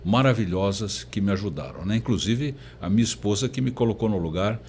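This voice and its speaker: Older male professor, halting conversational delivery. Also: lowish voice, animated, a little mellow. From born in Portugal, emigrated very young. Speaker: interview guest, professor. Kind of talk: conversational storytelling in an interview. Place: recording studio. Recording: faint background noise.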